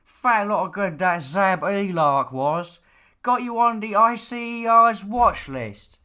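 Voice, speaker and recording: A man's voice: high voice, lively intonation, slow, sharp tone, pitched above the performer's own voice, exaggerated voice. Stern, nagging father, acted by a male reader, clean resonant voice, lecturing, bossy audiobook dialogue. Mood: annoyed, lecturing. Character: stern, nagging, exasperated father of a demon slayer.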